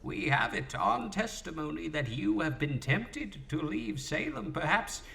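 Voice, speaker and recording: An actor playing a stern, accusing magistrate in an audio drama, emphatic and imperious. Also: male voice, on the high side, very animated, measured pace, vocal fry, pushed and bright, full character voice.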